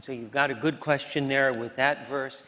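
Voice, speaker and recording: A man's voice: mid-range voice, fairly even intonation, normal speed, pushed and bright. A man moderating the question session, apologetic but firm about time. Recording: echoey lecture hall.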